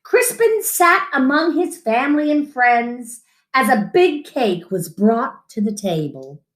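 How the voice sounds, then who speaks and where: A middle-aged woman tells a children's story in a high, very animated voice. She reads slowly with dramatic pauses. The room gives a noticeable echo. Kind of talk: expressive picture-book reading. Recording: roomy home echo.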